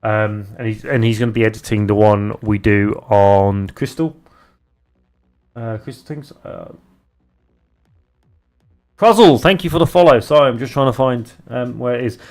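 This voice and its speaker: A young British man streaming live, chatting casually and haltingly as he reads out viewer messages. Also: fairly low pitch. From Britain. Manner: rambling, halting, restarts often while reading viewer chat aloud. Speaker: live streamer and game developer.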